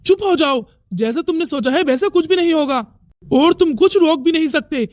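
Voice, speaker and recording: A high, dry-sounding voice of a woman playing a brash young man in a family melodrama cartoon. The delivery is dramatic and confrontational, full of defiance. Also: animated, normal speed, darkish tone, no room sound. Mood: angry. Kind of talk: Hindi moral-story cartoon dialogue.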